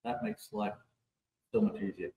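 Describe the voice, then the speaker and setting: A man's voice: moderate pace, mellow, recorded from a distance. A man talking casually in a side conversation, picked up from away from the mic in a noisy room.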